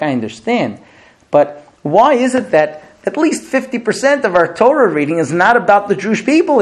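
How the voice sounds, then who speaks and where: Man, rabbi, sermon; high, animated voice, rhetorical and storytelling. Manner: storytelling, rhetorical questions, repeats words. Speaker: rabbi teacher.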